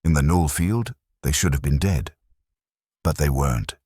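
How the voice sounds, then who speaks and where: Very deep-voiced husky male narrator. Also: moderate pace, darkish tone, no echo, studio quality. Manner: dramatic storytelling delivery. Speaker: audiobook narrator. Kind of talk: scripted sci-fi narration.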